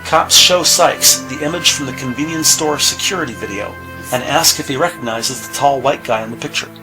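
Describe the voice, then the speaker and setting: A man reading scripted audio drama narration in a measured, descriptive storytelling manner. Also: mid-range voice, low-quality recording. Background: music.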